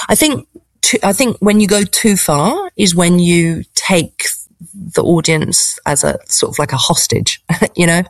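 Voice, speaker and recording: Posh English woman with a creaky voice. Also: deep voice, dry recording. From England. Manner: reflective, hesitant, searching for words. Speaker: interview guest, stand-up comedian. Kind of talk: conversational interview answers.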